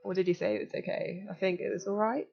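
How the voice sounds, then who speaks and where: A young woman in her twenties, slightly croaky, chatting casually and giggling as she walks viewers through a hacking exercise on a live stream. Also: medium pitch, fairly even intonation, fast, lo-fi sound. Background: faint music.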